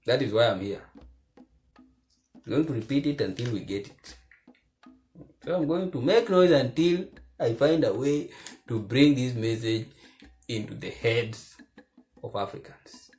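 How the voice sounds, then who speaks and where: A man giving earnest, preachy commentary to the camera, keeping his voice low because he is recording at home at night. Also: lively intonation, a little slow, pauses often, darkish tone, soft background music. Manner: earnest and preachy, repeats phrases for emphasis. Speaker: vlogger.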